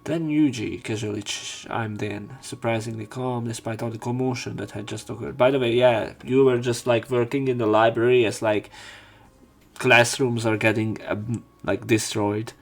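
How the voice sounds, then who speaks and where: A man narrating a visual novel playthrough, reading story text and dialogue aloud with some halting pauses. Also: mid-range voice, fairly even intonation, gravelly. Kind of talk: scripted narration and character line reading. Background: game music, keyboard typing.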